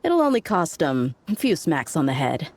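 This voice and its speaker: A woman's voice: deep voice, sing-song, unhurried, heavily acted. A woman playing a breezy, cocky desert mercenary in a video game, talking in a casual, teasing way. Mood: easygoing, confident.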